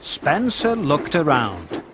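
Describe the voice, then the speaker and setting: A man narrating a children's train story and game in a clear storytelling manner, addressing young children. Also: a little high, huge pitch swings, slow. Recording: loud music behind the voice. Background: music.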